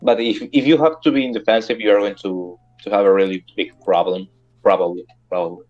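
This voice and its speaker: A man chats haltingly over voice chat, searching for his words and now and then clearing his throat. His audio comes through dull and muffled, with faint music behind. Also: fairly low pitch, fairly even intonation, a little mellow. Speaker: fellow player. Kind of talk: casual multiplayer voice chat.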